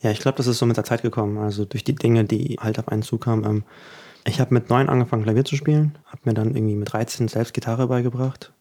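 A man's voice: mid-range voice, fairly even intonation, fast talker, mellow. A young man in his twenties, from Ukraine, talking reflectively and earnestly as an interview guest, laughing easily between thoughts. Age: 20s. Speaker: guest musician. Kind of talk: casual interview talk.

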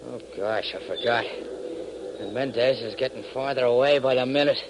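An actor playing a folksy, good-natured adventurer in a 1940s radio serial, with colloquial exclamations. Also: male voice, mid-range voice, moderate pace, natural voice. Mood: dismayed. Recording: noisy old radio, bird sound effects.

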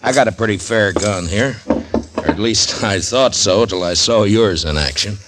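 An actor in a 1950s radio drama playing a calm, laconic frontier marshal with dry amusement and hesitant fillers. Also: male voice, on the low side, moderate pace, natural voice. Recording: old radio broadcast with faint hiss.

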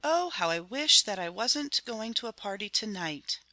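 A woman acts out a gentle, worried mother from a storybook. Her voice is bright and on the high side, with lively swoops. She entreats with anxious, pleading urgency. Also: slow, breathy edge, dry recording, full character voice. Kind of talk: dramatized storybook reading.

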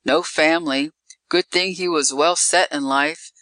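A narrator voicing a regretful onlooker discussing a death in hushed, clipped remarks. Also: medium pitch, steady tone, measured pace, no room sound. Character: hushed mourners discussing a young man's death.

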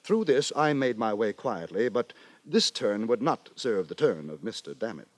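An actor playing an earnest, long-suffering, moralizing gentleman narrator in a theatrical, formal 1950s radio drama. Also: on the low side, lively intonation, normal speed, no echo. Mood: uneasy, fretful. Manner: theatrical, formal, fretful storytelling. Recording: 1950s radio broadcast with music.

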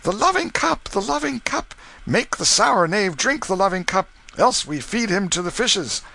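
A man's voice: sits high, very animated, moderate pace, vocal fry, sharp tone, voice pushed up, heavily acted. A man voicing a rowdy crowd of street revellers, chanting shrilly.

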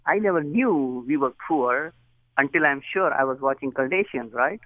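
A man's voice: mid-range voice, expressive, moderate pace, no room sound. An Indian man speaks over the phone as a guest on a talk show. He is earnest and chatty and likes to laugh at his own jokes. The line is thin and tinny, and the sound is dry and close. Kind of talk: phone interview.

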